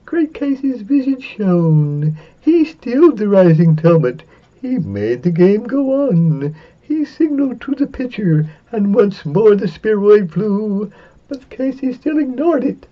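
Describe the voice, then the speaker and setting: A man giving a semi-dramatic reading of a narrative poem, theatrical and drawn-out, with big swings for suspense and excitement. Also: sits high. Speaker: poetry reader. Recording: dull, lo-fi sound.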